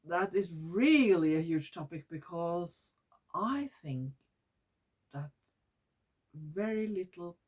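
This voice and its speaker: A woman from Norway with a Norwegian accent, hosting her own vlog in English, which is not her first language, often pausing to search for words and restarting her sentences. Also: fairly low pitch, lively intonation, a little mellow. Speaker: YouTube channel host. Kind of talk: casual vlog monologue.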